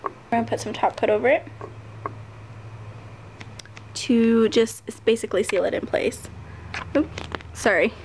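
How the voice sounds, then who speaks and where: Chatty woman with a gravelly voice. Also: on the high side, unhurried, long pauses, a little mellow, a little hiss or hum, low-quality recording. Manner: chatty with frequent ums and little laughs. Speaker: nail art vlogger. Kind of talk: casual product review. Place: home table, hands on camera.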